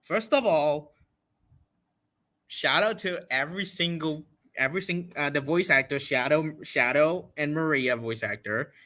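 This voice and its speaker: A man hosting a casual livestream reaction, talking in an excitable, repetitive and emphatic way. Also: on the high side, measured pace. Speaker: livestream host reacting to a game event.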